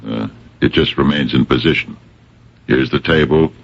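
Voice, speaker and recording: Very deep, gravelly police captain. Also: male voice, exaggerated voice. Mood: methodical. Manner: halting, probing, deceptively bumbling. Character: dogged, plain-spoken male police captain questioning suspects. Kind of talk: 1970s-80s radio drama acting. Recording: dull lo-fi old radio recording.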